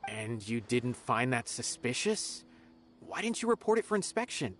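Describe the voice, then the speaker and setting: A man's voice: mid-range voice, very animated, moderate pace, fairly bright tone, slight background noise. A man voicing an earnest, loyal investigator in a game, polite but firm. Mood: questioning. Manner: polite, firm when pressed. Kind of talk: game voice acting. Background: game music.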